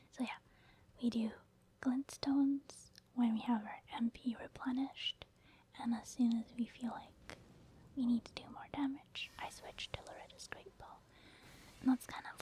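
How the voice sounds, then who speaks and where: A woman whispers her way through ASMR gaming commentary. Her voice is high and stays within a narrow, even range of pitch. She thinks aloud in a casual, explanatory way. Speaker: gaming ASMR video creator.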